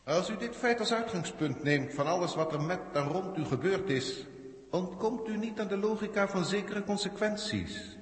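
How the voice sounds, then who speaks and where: A cold, condescending alien proctor speaks in formal, pedantic Netherlandic Dutch tones. The voice sits fairly high and rings with long reverb, as in a sixties science fiction radio play. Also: male voice, lively intonation, moderate pace. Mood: cold, disdainful. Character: cold, haughty alien galactic proctor judging humans. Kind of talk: 1960s radio drama acting. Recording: echoey hall reverb, 1960s radio recording.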